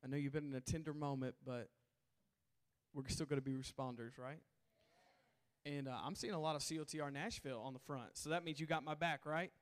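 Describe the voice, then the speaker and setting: A hoarse man preaching to a camp crowd in a large hall, calling for responses from the audience. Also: on the high side, fairly even intonation, a little fast. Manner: call-and-response, coaxing the crowd. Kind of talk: sermon to a youth camp crowd. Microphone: handheld stage mic.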